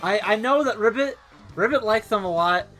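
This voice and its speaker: Man, podcast co-host, excitable, swears, very bright voice, faint music. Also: sits high, moderate pace. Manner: excitable, swears, breaks into giggles. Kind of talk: casual podcast chat.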